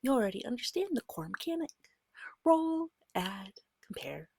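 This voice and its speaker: A woman gives slow, patient guidance in a soft, breathy ASMR voice that often drops into a whisper. Her pitch moves in lively little swings between short, reassuring phrases. The sound is very dry and intimate. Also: no echo. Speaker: guide teaching a beginner tabletop game. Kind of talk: soft-spoken ASMR, slow guided explanation.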